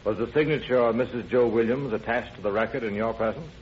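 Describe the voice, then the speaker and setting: Actor doing formal prosecutor, methodical, mid-pitched guy, muffled old radio play with some hiss. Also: quick pace, close to an everyday voice. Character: formal, methodical district attorney questioning a witness. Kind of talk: old-time radio drama acting. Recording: muffled, noisy vintage radio recording.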